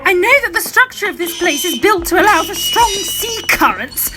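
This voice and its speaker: A woman's voice: extremely high pitch, very animated, moderate pace. A woman playing a hysterical, tearful young woman in an audio drama, wailing with panic. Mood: panicked, tearful. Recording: loud music behind.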